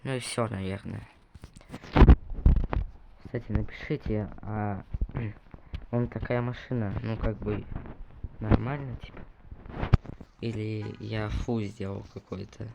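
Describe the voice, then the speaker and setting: A man's voice: on the low side, steady tone, slow, creaky, long pauses, heavy background noise. A young man casually commenting on his gameplay, rambling and correcting himself as he talks to viewers, recovering from a cough. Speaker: gaming video creator.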